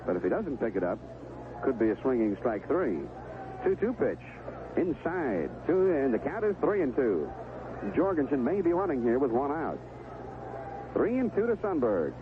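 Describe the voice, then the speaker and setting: A man's voice: mid-range voice, sing-song, moderate pace, narrow, tinny audio, background noise. An American man calling baseball play-by-play in a live radio commentary style, on an old 1970s broadcast. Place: stadium broadcast position.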